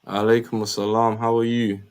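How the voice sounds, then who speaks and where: A man's voice: lowish voice, flat delivery, fairly quick, smooth. Slangy British man hosting a live stream. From Somaliland. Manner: informal, slangy. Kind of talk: casual live chat.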